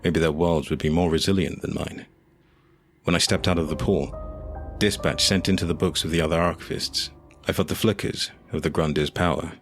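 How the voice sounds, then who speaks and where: A man narrating a scripted fantasy audio drama in a measured, solemn way, over faint noise and ambience. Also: sits low, fairly even intonation, soft background music.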